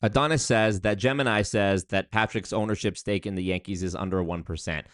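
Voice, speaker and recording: A man's voice: lowish voice, fairly quick, no room sound, clean studio sound. Wry, chatty male streamer. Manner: wry and chatty, with restarts and asides. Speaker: streamer commenting on a video. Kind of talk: casual live-stream commentary.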